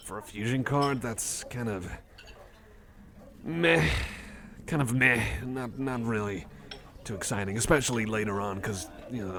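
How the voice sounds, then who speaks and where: Low-voiced, rambling nerdy man in a cafe. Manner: hesitant, rambling, self-correcting, mildly enthusiastic. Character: friendly, nerdy cyborg man rambling about trading cards. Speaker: ASMR roleplay performer. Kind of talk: casual rambling ASMR roleplay. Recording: cafe chatter behind the voice. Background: cafe crowd ambience.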